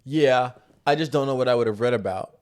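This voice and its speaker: A man's voice: mid-range voice, fairly quick, a little mellow. A man in his early twenties co-hosting a podcast, chatting casually in informal, slangy language. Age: early 20s. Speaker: podcast co-host. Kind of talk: casual chat.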